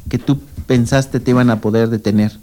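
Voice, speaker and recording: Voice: mid-range voice, fairly even intonation, fairly quick, dark tone, a little hiss or hum. A radio host interviewing a guest, asking long, encouraging, rambling questions.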